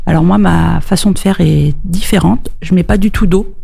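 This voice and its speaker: Gravelly-voiced woman, casually explaining. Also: on the low side, normal speed, dark tone. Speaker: interview guest, farm producer. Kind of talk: casual explanatory interview talk.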